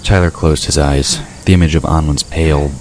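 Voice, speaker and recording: A man's voice: rumbling low, fairly even intonation, brisk, a little mellow, a little hiss or hum. A man narrating a fantasy audio drama in a scripted storytelling style.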